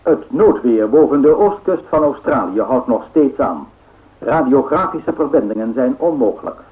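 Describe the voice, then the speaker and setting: An actor playing a 1930s radio newsreader, reading bulletins in a formal, measured way in a radio drama. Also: male voice, mid-range voice, lively intonation, lightly acted. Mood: formal, factual. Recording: tinny, narrow-band radio sound.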